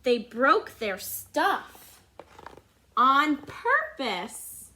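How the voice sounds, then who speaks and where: Storybook narrator role: dutiful, put-upon good egg played by a woman, bright, slow, earnest and dramatic. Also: high-pitched, huge pitch swings, exaggerated voice, low-quality recording. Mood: exasperated. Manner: earnest, dramatic, drawn-out emphasis. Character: dutiful, overburdened male good egg telling his story.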